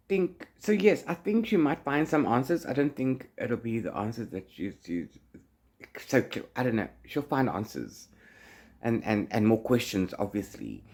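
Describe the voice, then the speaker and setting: A man with a mid-pitched, highly animated voice talks in a loose, chatty vlog style. He rambles and plays up his phrases theatrically, his intonation leaping up and dropping down. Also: gravelly. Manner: rambling, trails off into blah blah and la-la fillers. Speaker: book vlogger and reviewer. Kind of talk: casual, chatty reading vlog.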